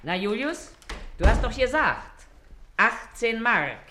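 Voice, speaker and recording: Brazen working-class mother, Berlin dialect, very animated intonation, canny hard bargainer, radio drama. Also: fairly high pitch, slow, a little hiss or hum, heavily acted. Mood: scolding. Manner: earthy, canny, feigning indifference. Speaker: actor. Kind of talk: German radio play acting in dialect.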